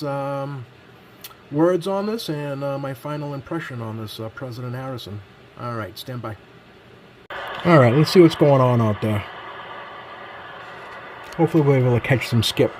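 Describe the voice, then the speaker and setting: A man's voice: mid-range voice, normal speed, long pauses, a little hiss or hum. A man giving a casual, off-the-cuff hands-on radio review, speaking hesitantly and often repeating himself. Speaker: product reviewer.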